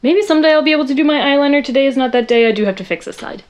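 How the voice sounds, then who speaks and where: A young woman with a loud voice chatting casually in her bedroom while doing a makeup tutorial, rambling and poking fun at herself. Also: high-pitched, racing. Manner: chatty, rambling, self-deprecating, talks to viewers while working. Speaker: makeup vlogger.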